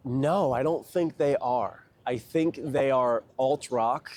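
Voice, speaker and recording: A man giving earnest, measured interview answers. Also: medium pitch, darkish tone.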